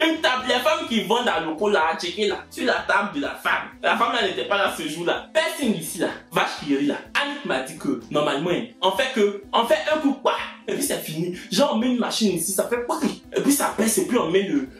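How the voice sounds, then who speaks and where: A man's voice: sits high, fairly quick, few pauses. A man with an Ivorian French accent tells a comic personal story to his viewers and acts out each person in it. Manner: lively, acts out every voice in the story. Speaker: video creator. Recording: roomy echo, faint music.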